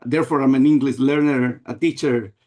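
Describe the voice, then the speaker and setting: An older man from America, giving an unhurried webinar presentation over a video call and often restarting his phrases. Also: mid-range voice, fairly even intonation, darkish tone. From Guatemala and El Salvador. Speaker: guest presenter, math educator.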